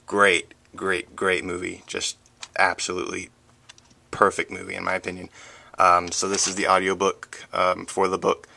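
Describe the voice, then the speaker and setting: A man's voice: sits low, monotone, normal speed, creaky, bright, forceful tone. A man chatting casually and hesitantly as he presents a collection item by item on a home video. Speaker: book vlogger. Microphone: handheld camera, close behind it. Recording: noisy home camera audio with handling clicks.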